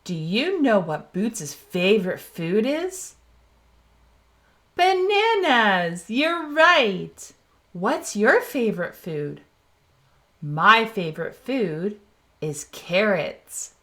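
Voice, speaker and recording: A woman's voice: medium pitch, big pitch swings, very slow, fairly bright tone, exaggerated voice. A woman reading aloud as a cheerful young girl explorer in a children's storybook, asking listeners questions and praising them. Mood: cheerful, encouraging. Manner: asks the listener questions, then praises the answer.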